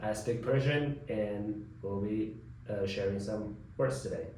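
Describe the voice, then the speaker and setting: A soft-spoken man from Tajikistan who makes short comments as a guest. His voice echoes strongly around the room, with faint ambient noise underneath. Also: fairly low pitch, unhurried. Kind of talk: casual chat.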